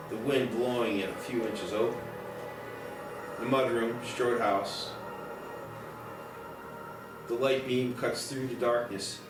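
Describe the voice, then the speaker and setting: A man narrates screenplay directions in a scripted table read. His voice sits back from the mic in a very echoey space. Music plays clearly behind him. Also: mid-range voice, far from the mic.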